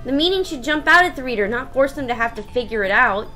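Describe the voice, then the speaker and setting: A woman voicing a bratty, prickly high-school girl in a visual novel, blunt and huffy in manner. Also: lively intonation, fast, bright, forceful tone, faint music behind. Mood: indignant. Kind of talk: visual novel voice-over read aloud.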